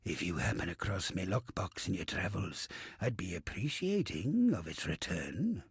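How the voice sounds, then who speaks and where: A male actor playing a bawdy, wistful old captain's ghost in a video game, with a gravelly, raspy voice and a salty, rambling theatrically. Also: low voice, big pitch swings, moderate pace, no room sound, studio quality, heavily acted. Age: older. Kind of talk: video game character monologue.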